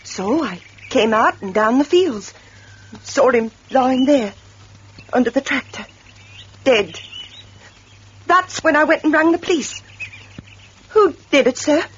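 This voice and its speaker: A woman's voice: sits high, lively intonation, slow pace, long pauses, a little hiss or hum. A woman playing a plain-spoken farm widow, respectful and deferential, in a radio drama. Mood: shaken, deferential. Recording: muffled radio, faint outdoor ambience.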